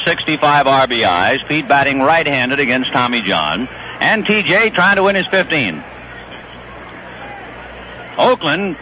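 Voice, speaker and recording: Bright-voiced man calling baseball on old radio. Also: fairly high pitch. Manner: brisk, conversational play-calling. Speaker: play-by-play announcer. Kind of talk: live radio baseball commentary. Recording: dull old radio broadcast with faint hiss.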